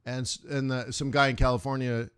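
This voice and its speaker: Man, middle-aged, casual livestream host, rambling asides, laughs a lot, medium pitch. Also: level tone, fairly quick, a bit bright, clear, resonant tone. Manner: chatty, laughs often, trails off into asides. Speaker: live trading stream host.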